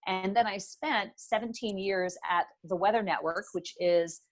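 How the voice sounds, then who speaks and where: A woman's voice: medium pitch, a little slow. A middle-aged woman from Northern Ontario, with French as her first language, answering interview questions in the articulate, polished manner of a former broadcaster. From Northern Ontario, Canada. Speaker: guest, business owner.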